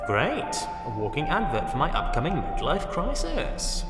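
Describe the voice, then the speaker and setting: A man's voice: fairly high pitch, wildly swooping pitch, moderate pace. A man playing a wry, chatty time-travelling hero in a fan audio drama, sardonic and self-mocking. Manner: sardonic, quippy. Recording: echoey hall reverb, faint music.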